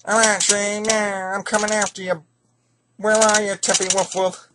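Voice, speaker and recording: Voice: mid-range voice, flat delivery, moderate pace, lightly acted. A performer playing a blustering male cowboy villain with a drawling swagger in a homemade western parody. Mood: menacing. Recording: noisy home recording with clicks.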